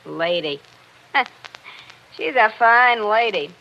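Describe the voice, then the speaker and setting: An insolent household maid with a sharp, highish voice and big swings of pitch. She is blunt and mocking in old radio drama style. The recording sounds dull and aged. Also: lively intonation, very slow, vocal fry, full character voice. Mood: scornful mockery. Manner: blunt, scornful, mimicking.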